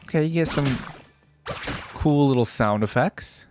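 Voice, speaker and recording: A man's voice: fairly high pitch, a little slow, over music. A man doing casual reaction commentary as a video host, musing and thinking aloud in an informal way.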